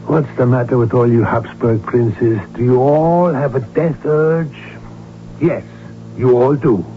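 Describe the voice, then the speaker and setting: A man's voice: on the high side, a little hiss or hum. An actor playing an outspoken, agitated royal physician who tells his story with urgency and emphasis in a 1970s radio drama. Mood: exasperated. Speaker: doctor character who also narrates. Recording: tinny narrowband old radio recording, music behind.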